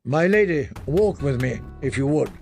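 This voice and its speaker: Male narrator as lord commander of a fantasy watch: stern, terse, gravelly, somewhat high-pitched. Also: animated, normal speed, a little mellow, soft background music. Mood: commanding.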